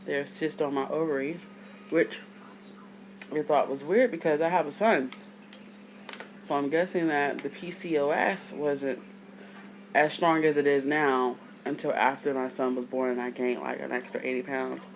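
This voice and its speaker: A woman in her thirties with a deep voice, just out of bed, talking to her camera in a chatty, rambling way with side notes. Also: fairly even intonation, moderate pace, slight background noise, poor audio. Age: 30s. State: just woke up. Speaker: vlogger. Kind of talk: casual talk to camera.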